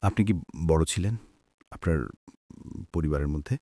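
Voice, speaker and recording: A man's voice: a bass voice, normal speed, mellow, husky, no room sound, clean studio sound. A man with a Bangladeshi Bengali accent, hosting a life-story show and putting short questions to a guest. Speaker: radio-style show host and interviewer.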